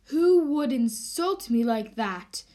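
A woman's voice: on the high side, animated, slow, dark tone. A woman playing an indignant, self-important male mayor in an amateur radio drama. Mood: affronted, pompous.

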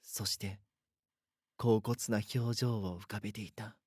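A man's voice: on the low side, steady tone, slow pace, a little mellow, husky, no room sound, studio quality, full character voice. A man voice-acting a timid, frightened young man who narrates in the first person in an audio drama, hesitant and uneasy. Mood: uneasy wonder.

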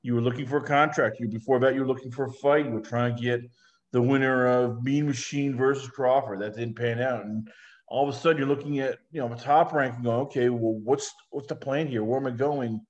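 A man's voice: mid-range voice, steady tone, a little fast, dull audio. A man interviewing a boxer, asking long rambling questions padded with fillers. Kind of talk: sports interview.

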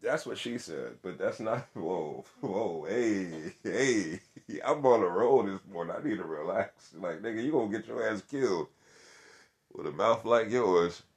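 A man co-hosting a casual chat, brash and joking with crude banter, who calls his voice monotone. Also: on the low side, unhurried, roomy sound. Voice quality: monotone delivery. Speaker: podcast co-host.

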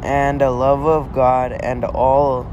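A man with a mid-pitched voice reads scripture aloud in a measured manner. He goes slowly and keeps a fairly even tone, occasionally stumbling. Road and vehicle noise is clearly heard behind him. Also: lo-fi sound. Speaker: reader in a family scripture reading.

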